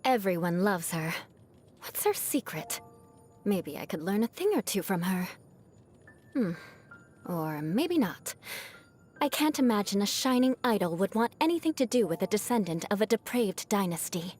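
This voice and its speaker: Music plays behind an actress as a proud young noblewoman knight from a video game. She speaks in a medium-pitched female voice with haughty composure and formal, disdainful phrasing. She holds grudges, yet a soft-hearted streak shows underneath. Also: big pitch swings, normal speed, lightly acted. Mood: wistful, self-deprecating. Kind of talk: scripted video game character voice lines.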